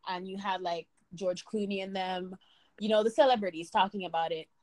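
A young woman from Sudan talks as a podcast guest, loose and chatty, full of fillers and false starts. Her pitch sits in the middle for a woman. The sound is dry, with no room in it. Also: steady tone, a little fast, darkish tone, no echo, rough recording. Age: young adult. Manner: chatty, many fillers and restarts. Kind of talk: casual interview talk.

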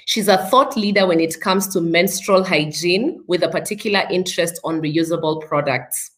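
Woman podcast host, from Kenya, lowish voice, upbeat formal introductions, video call. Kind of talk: live online interview.

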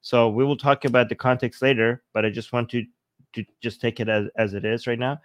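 Smooth-voiced man hosting a livestream. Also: medium pitch, level tone, brisk, no room sound. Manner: thinking aloud, reading subtitles to viewers. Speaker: show host. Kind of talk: livestream reaction commentary.

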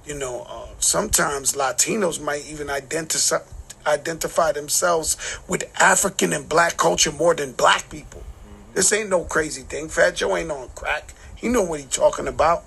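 A man's voice: on the high side, normal speed, creaky, sharp tone, a little hiss or hum. A man in a played clip, speaking emphatically and often repeating "know what I'm saying" as he makes his points. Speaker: interviewed guest in a clip. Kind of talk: emphatic opinion monologue.

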